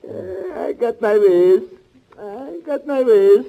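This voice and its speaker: An actor playing a nosy, self-satisfied veteran hotel clerk with a knowing manner, in 1940s radio character style. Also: male voice, very high-pitched, sing-song, slow pace, vocal fry, full character voice. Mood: coy. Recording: noisy, muffled old radio recording.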